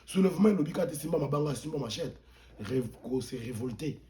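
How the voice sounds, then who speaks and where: A man with a Congolese accent and a soft-edged, mellow voice pitched a little high. He speaks as a pastor turned political commentator, indignant and emphatic. He repeats words to drive points home and slips between languages mid-sentence. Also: expressive, fairly quick, slight background noise. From Democratic Republic of the Congo. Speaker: pastor, interview guest. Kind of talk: impassioned political commentary in an interview.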